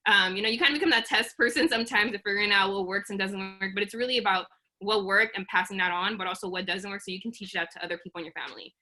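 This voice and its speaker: A woman's voice: medium pitch, fairly even intonation, rapid-fire, pushed and bright. A woman in her thirties from Oakland, earnestly presenting a career webinar over a video call, with frequent um's and restarts. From Oakland, California. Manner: earnest, uses many fillers and restarts.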